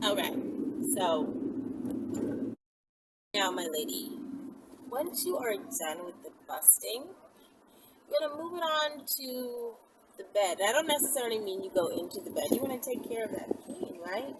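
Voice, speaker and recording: High-pitched, animated woman in a noisy car. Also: normal speed, pauses often. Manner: animated, checks understanding often. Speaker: vlog host giving instructions. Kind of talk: informal instructional chat to camera. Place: inside a car on the road. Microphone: camera at arm's length or on dashboard. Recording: loud vehicle and road noise, distant mic.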